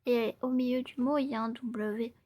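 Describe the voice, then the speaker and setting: A woman in her twenties chatting casually and playfully as a live streamer, often in a soft, breathy ASMR whisper and repeating her phrases. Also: fairly high pitch, fairly even intonation, moderate pace. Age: 20s.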